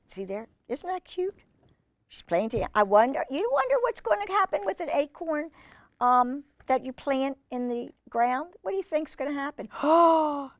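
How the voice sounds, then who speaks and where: A woman hosting children's storytime, reading aloud and chatting in an expressive, gushing manner with fond asides. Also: fairly high pitch, dry recording, studio quality. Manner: gushing, expressive, exclaiming over pictures.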